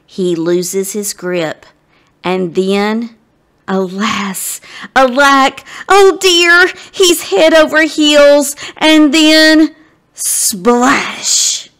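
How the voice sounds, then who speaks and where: Lady doing story time for kids, higher voice, takes her time, really expressive and dramatic. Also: very slow, clean tone. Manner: expressive, dramatic, addresses the listeners directly. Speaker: guest story reader. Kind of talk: animated storybook read-aloud for children.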